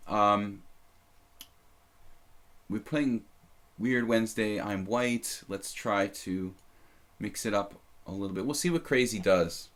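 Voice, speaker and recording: A man's voice: lowish voice, slow pace, long pauses, a bit bright. A man in his thirties casually commenting on his own chess games on a live stream, rambling and self-deprecating, with a slight leftover cough. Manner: rambling, self-deprecating, many fillers and restarts.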